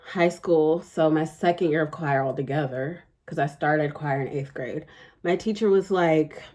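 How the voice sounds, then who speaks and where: A young adult woman chatting casually through a makeup tutorial in a fairly deep voice, rambling with lots of fillers. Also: unhurried, a little mellow. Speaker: beauty vlogger.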